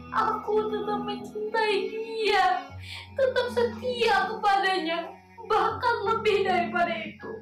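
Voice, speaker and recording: A woman playing a proud, defiant, grieving widow on stage, with declamatory, singsong delivery. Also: very high-pitched. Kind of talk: theatrical stage acting. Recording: echoey hall, far microphone, loud music. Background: loud music.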